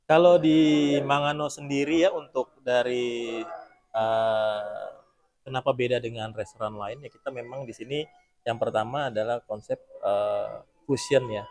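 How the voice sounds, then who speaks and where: A man from Jakarta giving informal interview answers as an executive chef, explaining things with hesitant pauses. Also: mid-range voice, fairly even intonation. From Jakarta, Indonesia. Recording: loud music in the background.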